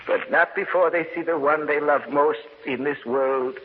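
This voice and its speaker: Eerie old man male actor, ominous and stately, raised pitch, old radio chiller with faint noise. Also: normal speed, natural voice. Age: older. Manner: ominous, portentous, formal. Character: eerie old man serving an unseen sinister master. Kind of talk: old radio horror acting. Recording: lo-fi, noisy old radio recording.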